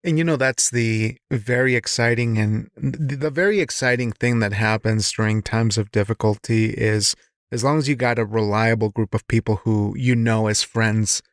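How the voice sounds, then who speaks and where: A man's voice: fairly low pitch, steady tone, normal speed, dry recording. Male podcast host, close dry sound. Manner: reflective, searching phrasing, frequent short affirmations. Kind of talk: casual interview questions.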